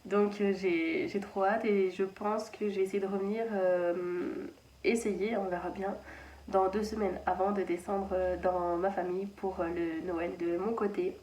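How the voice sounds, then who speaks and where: A chatty woman in her thirties talks her way through a knitting update, rambling and pausing to restart her sentences. Her mid-pitched voice sits a little back from the mic in an echoey room, with a faint hum of the house behind her. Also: steady tone, warm tone, far from the mic. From France (Anjou). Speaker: knitting channel host. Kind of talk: casual knitting podcast chat. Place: children's playroom at home.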